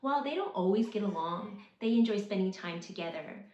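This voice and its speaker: A woman in her forties, raised in Mississippi, working through a prepared list of reasons in an earnest, measured way with occasional restarts. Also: medium pitch, expressive, darkish tone. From South Korea, raised in Mississippi. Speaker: homeschooling mom. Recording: roomy, slightly dull, faint hiss.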